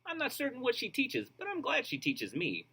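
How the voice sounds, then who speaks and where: A man reading a children's storybook aloud, expressive and rhythmic, with casual asides. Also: very high voice, moderate pace, slightly rough. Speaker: storybook reader.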